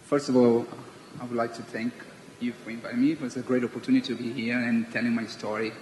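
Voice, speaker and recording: A man from Brazil, earnestly telling his story on a panel, his voice dropping quiet at the ends of phrases. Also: mid-range voice, little pitch movement, quick pace. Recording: echoey hall with faint noise.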